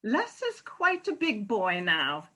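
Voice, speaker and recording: A woman's voice: mid-range voice, wildly swooping pitch, slow, bright, forceful tone, heavily acted. A woman playing a frank, middle-aged former nurse, pointed and teasing yet earnest, in a comic stage play reading. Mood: earnest, wistful.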